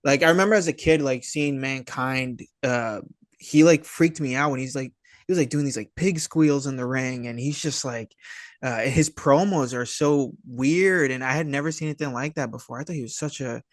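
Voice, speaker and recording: A man's voice: mid-range voice, moderate pace, no echo, clean studio sound. A man chatting casually as a podcast guest, enthusiastic and slangy, hesitating through lots of likes and dudes. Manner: enthusiastic, slangy, swearing, hesitant phrasing full of 'like' and 'dude'. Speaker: podcast guest, independent filmmaker. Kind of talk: casual chat.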